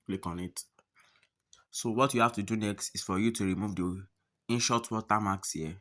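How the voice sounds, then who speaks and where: A man's voice: fairly low pitch, normal speed, bright, forceful tone. A man narrating a step-by-step app tutorial, often repeating his phrases and instructions. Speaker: tutorial host.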